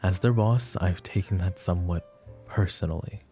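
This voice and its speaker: A man playing a smug, flirty, possessive mafia boss in a romantic ASMR roleplay, teasing and suave, with unhurried pauses. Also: sits low, dark tone, faint music behind, lightly acted. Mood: earnest, placating.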